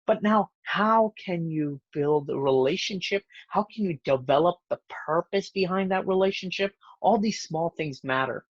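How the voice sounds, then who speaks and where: A man's voice: high voice, moderate pace, hardly any pauses, no room sound. A man with an American accent giving conversational, explanatory interview answers, often checking in with the listener, over slightly dull call audio. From born in India, moved to the United States young. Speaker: podcast guest, networking expert.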